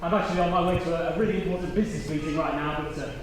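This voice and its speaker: A man's voice: a little high, fairly even intonation, rapid-fire, lightly acted. A man playing an awkward, self-pitying would-be performer, hesitant and aggrieved in a pantomime monologue to the audience. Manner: hesitant, mock-humble, aggrieved. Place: theatre stage, live show. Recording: echoey, distant, noisy stage recording.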